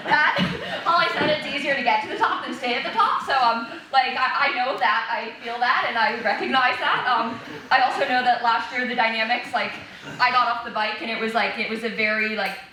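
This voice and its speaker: A woman's voice: on the high side, brisk, bright, forceful tone, very echoey, recorded from a distance, rough recording. A woman giving a casual interview answer in a rambling way, with lots of "like" and restarts. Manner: rambling, many fillers and restarts.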